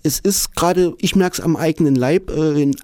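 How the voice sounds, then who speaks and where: A man's voice: fairly high pitch, dark tone. A man from Germany living in Japan, a guest telling his stories in a conversational interview, often repeating words and tagging "ne". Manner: halting, repeats words, frequent "ne" tags.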